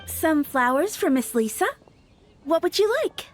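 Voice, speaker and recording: A woman's voice: sits high, expressive, measured pace, soft background music. A woman voicing an earnest young flower shop assistant in a video game, chirpy, polite and slightly shy. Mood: eager, welcoming. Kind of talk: video game character acting.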